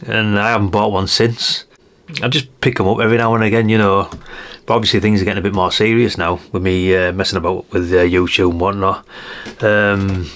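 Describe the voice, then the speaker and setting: A middle-aged man, rambling casually through a show-and-tell vlog in a chatty, down-to-earth way. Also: fairly low pitch. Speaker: hobby radio vlogger.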